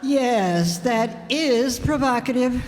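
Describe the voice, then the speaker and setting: A woman playing a high-voiced, sarcastic jealous wife. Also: slow pace. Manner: sarcastic, mock-innocent. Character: jealous, sarcastic, childish wife with mocking wisecracks. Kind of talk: old-time radio comedy acting. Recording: echoey hall, noisy stage recording.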